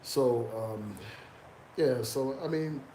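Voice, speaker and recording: A man's voice: mid-range voice, takes it slowly, warm tone. A man with a cold, sniffling as he comments loosely to his viewers, with lots of "um" and "man.". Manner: loose, conversational, fillers like "man" and "um". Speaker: YouTube commentator reading chat. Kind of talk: casual live-stream commentary. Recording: echoey room with background noise.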